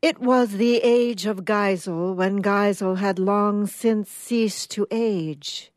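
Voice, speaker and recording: A woman's voice: medium pitch, fairly even intonation, takes it slowly, no echo, clean studio sound. A woman guest reader introducing and reading short stories aloud.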